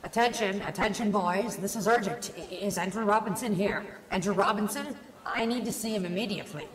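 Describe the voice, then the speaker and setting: A man voicing an older male school official in an amateur radio play, grave and halting in manner. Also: sits high, voice pushed up, close to an everyday voice. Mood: urgent. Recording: echoey hall with crowd behind.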